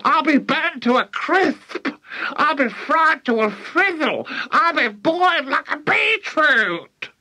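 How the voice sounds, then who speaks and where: A man's voice: very high voice, very animated, pushed and bright, pitched above the performer's own voice, exaggerated voice, poor audio. A man voicing a cantankerous old grandmother from a children's storybook, screeching and wailing frantically in a strained voice. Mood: indignant yelling. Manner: frantic wailing, drawn-out exclamations. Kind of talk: animated children's storybook character reading.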